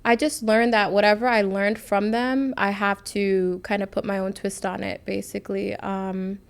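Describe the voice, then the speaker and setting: A woman in her thirties with a medium-pitched voice chats as a podcast co-host. She tells personal stories candidly, in loose, slangy, informal phrasing. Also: level tone, normal speed, vocal fry, smooth. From United States. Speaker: podcast co-host, nurse practitioner. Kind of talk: casual chat, personal storytelling.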